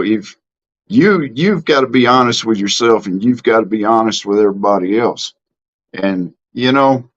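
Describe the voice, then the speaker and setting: A middle-aged man from Mississippi talks in a Southern accent, easy and plainspoken, as he reads out comments on his live stream. He drawls and fills his pauses with ums and false starts. His voice sits at an ordinary pitch, and the stream audio is dull and lo-fi. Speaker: livestream host. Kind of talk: casual live-stream chat reading comments.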